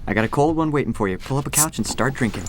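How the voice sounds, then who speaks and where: A man acts out a breezy young buddy in a radio drama. His mid-pitched voice swings lively up and down as he teases with mock sympathy. Background noise surrounds him. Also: rapid-fire, lightly acted. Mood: breezy consoling. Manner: jokey, mock-sympathetic needling. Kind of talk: naturalistic radio drama acting.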